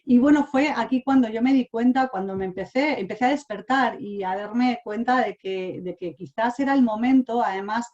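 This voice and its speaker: A woman's voice: a little high, normal speed, few pauses, darkish tone, muffled recording. Hesitant woman in her forties. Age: 40s. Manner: hesitant, many fillers and restarts. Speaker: speaker. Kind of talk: online talk sharing personal experience. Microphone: online talk mic.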